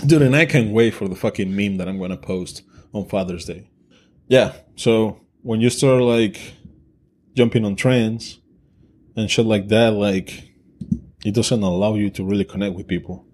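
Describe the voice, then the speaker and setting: A man's voice: on the low side, normal speed, darkish tone. A man co-hosting a podcast, talking bluntly and crudely in casual chat, joking with his co-host. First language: Spanish.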